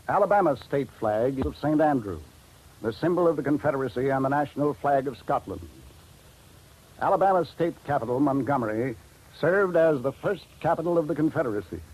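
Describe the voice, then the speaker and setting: Husky, formal male radio narrator. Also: a little high, a little mellow, rough recording. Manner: measured, stately. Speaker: narrator of educational radio feature.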